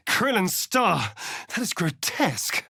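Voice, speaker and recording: An actor playing a suave, sardonic vampire rogue in a video game, speaking in an arch, drawling, theatrical manner. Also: male voice, high-pitched, very animated, slow, creaky, breathy edge, no echo, studio quality, exaggerated voice. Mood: indignant, sarcastic. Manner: theatrical, arch, drawling, with dramatic swells and hushes. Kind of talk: video game character voice lines.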